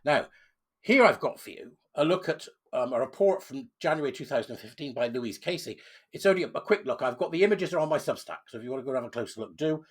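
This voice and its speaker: A man with a cold, sounding croaky, hosting an emphatic opinion commentary with sudden loud stresses. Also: medium pitch, lively intonation, quick pace. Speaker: channel host.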